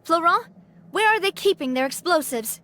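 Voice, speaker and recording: A woman's voice: very high voice, lively intonation, moderate pace, sharp tone, full character voice. An actress voicing a kind, responsible young woman who leads a close-knit band in a video game. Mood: worried, decisive. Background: faint music.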